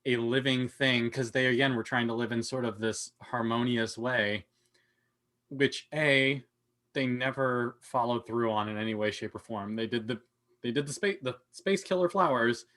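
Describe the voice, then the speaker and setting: A man's voice: medium pitch, normal speed, a bit bright. A man co-hosting a casual panel discussion with a wry, jokey, opinionated way of talking. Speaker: podcast co-host.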